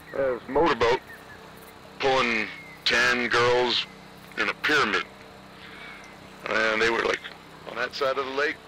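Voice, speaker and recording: A man playing a rambling video game guard, telling a tale in a casual, halting, deadpan way. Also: mid-range voice, very noisy, close to an everyday voice. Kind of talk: naturalistic video game acting.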